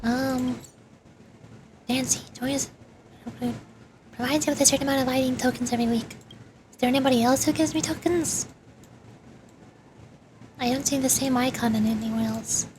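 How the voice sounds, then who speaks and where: A woman's voice: sits high, steady tone, moderate pace, long pauses, background noise. A woman streamer playing a video game, musing aloud in casual commentary and reading dialogue out. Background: game music.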